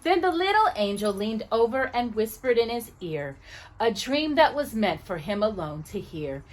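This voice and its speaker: A woman's voice: on the high side, very animated, normal speed, rough recording. A woman reading a children's picture book aloud expressively, with casual asides to the listener.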